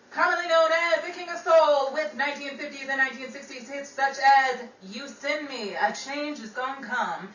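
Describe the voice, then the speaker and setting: A woman's voice: sits high, fairly bright tone. A woman playing a chatty, wisecracking 1930s radio gossip gal in an old-time radio drama, with theatrical vintage patter. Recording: roomy, distant mic with faint noise.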